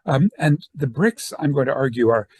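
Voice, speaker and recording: Older man with a husky voice. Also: medium pitch, expressive, normal speed, a little mellow, no room sound. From born in Northern Ireland, raised in the southern United States. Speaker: academic and activist giving a talk. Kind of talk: semi-formal conference presentation. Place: online video call.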